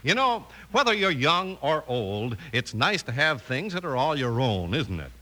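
An American man announcing in a polished, upbeat old-time radio style, delivering a scripted sponsor pitch. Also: big pitch swings, moderate pace. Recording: old radio broadcast recording. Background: music.

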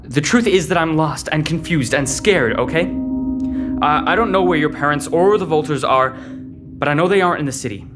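A man's voice: on the high side, normal speed, over loud music, natural voice. A man playing a troubled, short-tempered but protective teenage boy in a sci-fi audio drama, guarded and terse. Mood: frustrated, scared.

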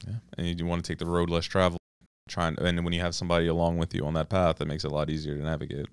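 A man's voice: sits low, completely flat delivery, very fast, smooth, no echo, clean studio sound. A man hosting a casual interview podcast, affirming and summing up in a conversational way. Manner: affirming, sums up what the guest says. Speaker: podcast host.

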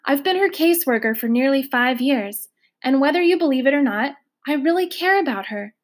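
A woman's voice: sits high, lively intonation, fairly quick, pitched above the performer's own voice. A woman voicing a firm, businesslike female social caseworker in a storybook reading.

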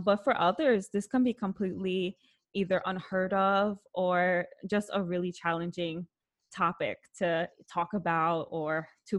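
Woman host, casual interview, friendly and chatty, fills pauses with "um" and "like". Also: on the low side. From Puerto Rican family background. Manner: friendly, chatty, with frequent fillers.

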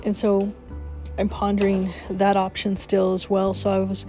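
A woman's voice: mid-range voice, completely flat delivery, moderate pace, dark tone, faint music behind. A woman hosting her morning podcast, sniffly from a cold, chatting cheerfully and laughing often. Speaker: podcast host. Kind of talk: casual morning chat.

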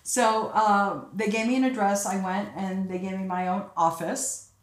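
A woman's voice: medium pitch, moderate pace. Older woman telling stories in an echoey room. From Egypt. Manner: chatty, laughing, animated quoting. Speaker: guest, casting director. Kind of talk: casual interview storytelling. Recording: roomy echoey sound.

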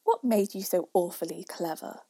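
A woman voicing a cheeky, inquisitive young man in storybook verse, reciting with measured emphasis. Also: fairly low pitch, warm tone, breathy edge, dry recording.

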